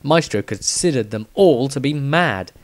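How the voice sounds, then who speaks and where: A man reading his own fantasy story as scripted narration, with dramatic, deliberate phrasing. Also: medium pitch, lively intonation.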